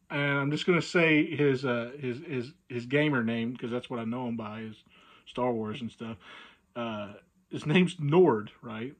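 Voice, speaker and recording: A man casually telling a humorous anecdote, animated and amused by his own tale. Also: mid-range voice. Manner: animated, acts out dialogue, chuckles at own story. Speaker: storyteller.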